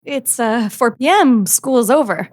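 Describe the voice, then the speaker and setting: A woman's voice: fairly high pitch, lively intonation, measured pace, darkish tone. A woman playing an inquisitive, determined teenage girl reporter in an audio drama. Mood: insistent. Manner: hesitant when fibbing, insistent when pressing.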